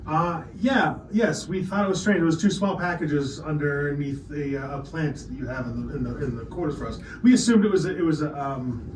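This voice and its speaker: A man's voice: fairly high pitch, a little fast, few pauses, natural voice. Man playing a hesitant alien guest, roomy sound. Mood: puzzled, politely affronted. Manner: hesitant, polite. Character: puzzled, mildly affronted alien guest aboard a starship. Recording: roomy, distant, noisy mic.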